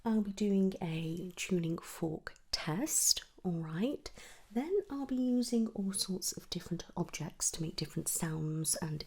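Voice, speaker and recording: A woman gently whispering and softly speaking in a breathy, reassuring way, as an audiologist in an ASMR medical roleplay. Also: on the low side. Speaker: ASMR performer playing an audiologist. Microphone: close ASMR microphone.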